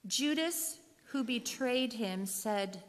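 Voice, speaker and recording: A woman narrator reading scripture aloud from a script in a measured way. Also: fairly high pitch, lively intonation. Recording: reverberant hall.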